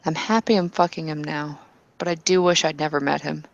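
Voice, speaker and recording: A woman's voice: low voice, fairly even intonation, fairly quick, creaky, lo-fi sound. A woman playing a tabletop roleplaying game, speaking in character, earnestly and with some hesitant restarts.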